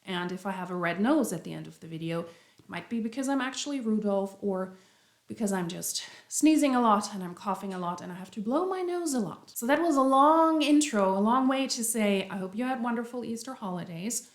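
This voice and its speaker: A woman's voice: medium pitch, expressive, fairly quick. A woman with a cold and a slightly nasal voice, chatting casually as she explains a topic to her viewers. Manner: chatty, self-deprecating, explains step by step. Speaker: YouTube commentary host. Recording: roomy echo.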